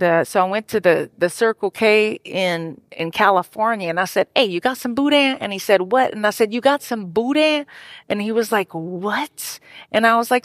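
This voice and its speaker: A woman's voice: mid-range voice, no echo, clean studio sound. A woman from Louisiana with a Cajun accent, telling stories in a chatty way with many fillers and restarts. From Louisiana, United States. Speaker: guest. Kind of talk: casual storytelling talk.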